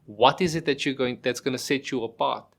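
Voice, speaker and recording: A man's voice: medium pitch, brisk, creaky, fairly bright tone. A middle-aged man, a podcast guest, talking casually and explaining with everyday examples, restarting phrases and asking rhetorical questions. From South Africa.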